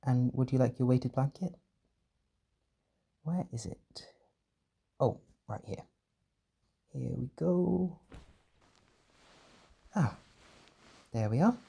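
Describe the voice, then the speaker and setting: Soft, husky man, soothing and tender. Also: medium pitch, expressive, slow, long pauses. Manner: tender, soothing, reassuring, pet names. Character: gentle, doting male caretaker soothing an overwhelmed partner. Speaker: audio roleplay performer. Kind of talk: comfort audio roleplay, soft and intimate.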